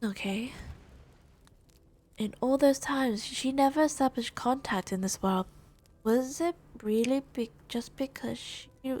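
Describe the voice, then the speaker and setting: A woman live-streaming a game playthrough, musing aloud in a halting, reflective way. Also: on the high side.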